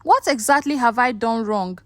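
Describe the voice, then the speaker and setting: Woman voicing an anxious, high-pitched husband. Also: moderate pace, natural voice. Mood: nervous. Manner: careful, defensive. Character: anxious married man, polite, pleading with hostile in-laws.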